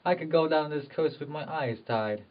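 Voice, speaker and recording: A man playing an anguished, impulsive rural husband in love, fervent and halting, in an amateur drama. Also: fairly high pitch, lightly acted. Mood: tender coaxing. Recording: dull, distant microphone.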